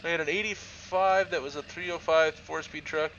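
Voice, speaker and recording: Male streamer, Southern Ontario Canadian accent, bright tone, joking and rambling, slightly dull mic with faint hiss. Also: fairly high pitch, steady tone, normal speed. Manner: chatty, joking, trails off and restarts. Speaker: game streamer. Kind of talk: casual live-stream chat while playing.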